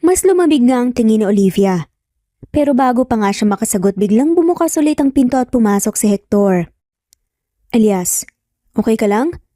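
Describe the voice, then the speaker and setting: A woman's voice: a little high, expressive, fairly quick, mellow, no room sound, studio quality. A woman with a Filipino accent reading a scripted story as a dramatic narrator.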